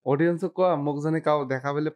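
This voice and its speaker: A man's voice: fairly high pitch, steady tone, very fast, darkish tone. A young man with a North Bengal accent in a casual, teasing phone chat, often repeating himself as a YouTube prankster.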